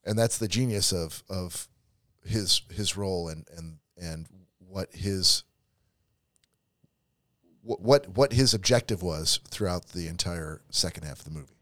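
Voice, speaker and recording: Deep-voiced man chatting about a film. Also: a little slow, fairly bright tone, no room sound, clean studio sound. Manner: restarts and repeats words often. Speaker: podcast co-host. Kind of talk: casual movie discussion.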